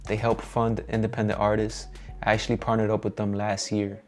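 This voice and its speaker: Low-voiced Dominican man, even delivery. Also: fairly quick, soft background music. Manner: relaxed, upbeat and encouraging, slangy. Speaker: musician giving a studio tour. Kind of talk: casual vlog walkthrough. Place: home room turned music studio.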